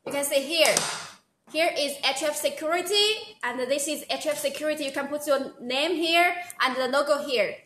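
A high, bright-voiced woman with a Chinese accent pitches products on a live stream, leaning on okay and wow between points. Her voice rings through a very echoey room. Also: normal speed, slight background noise. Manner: eager, repeats "okay" and "wow", non-native phrasing. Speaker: sales presenter.

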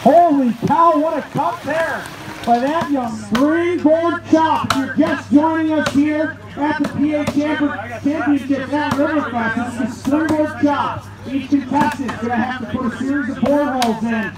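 Loud guy calling a live contest, really high excited voice, folksy, crowd going behind him. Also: moderate pace. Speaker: live event announcer. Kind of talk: excited live sports commentary of a lumberjack contest. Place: outdoor festival event, heard over loudspeakers. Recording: echoey outdoor PA with crowd noise. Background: cheering crowd.

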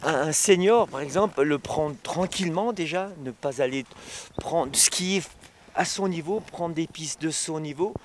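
A man in his fifties, a ski instructor, answers interview questions outdoors on a snowy slope into a handheld mic, talking in halting phrases with many filler tags. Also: on the high side, slight background noise. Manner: halting, frequent "hein" and "évidemment" tags.

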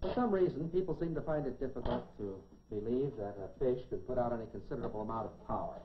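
A man's voice: medium pitch, fast talker. A middle-aged American man presenting a scripted 1950s educational science film in a folksy, dryly humorous manner. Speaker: science presenter in a lab coat. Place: laboratory set, indoors. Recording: dull 1950s film soundtrack, clearly noisy.

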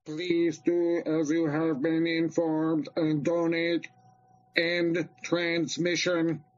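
A muffled, lo-fi transmission carries an alien emissary, acted by a man. The creature speaks slowly and formally, issuing cool demands in a rather high voice, in comic radio-play style. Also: monotone. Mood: commanding. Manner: stiff, formal, measured. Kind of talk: comedy radio theater. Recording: dull, lo-fi transmission sound.

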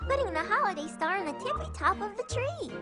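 An eager, grateful cartoon child. The voice is extremely high and sweetly polite. Loud music nearly covers it. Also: female voice, big pitch swings, quick pace. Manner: gushing, polite. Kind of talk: cartoon voice acting. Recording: loud music over a faint voice.

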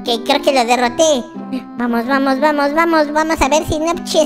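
A performer voicing an excitable, boastful but kind baby boy game character with a squeaky childlike voice, exclaiming and repeating words as gameplay roleplay commentary. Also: moderate pace, natural voice. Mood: triumphant, excited. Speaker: gaming channel host. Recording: music behind the voice. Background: children's music.

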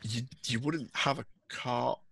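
A man's voice: mid-range voice, measured pace, creaky, fairly bright tone, breathy edge, no room sound. A man running an online tabletop role-playing game, describing scenes and answering players casually. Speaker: game master. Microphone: close mic on a group video call.

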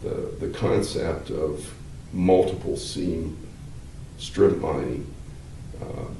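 Very deep, slow older man explaining. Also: warm tone. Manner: measured, halting, many fillers and restarts; gestures with hands while talking. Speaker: law professor. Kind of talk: explanatory interview answers. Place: wood-paneled interview room, seated indoors. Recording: roomy, slightly distant, faint hiss.

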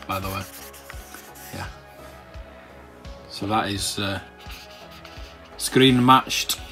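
A man's voice: fairly low pitch, fairly bright tone. A man casually browsing a catalogue and commenting as a channel host, rambling and hesitant, with many ums and restarts. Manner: enthusiastic collector's remarks. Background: faint background music.

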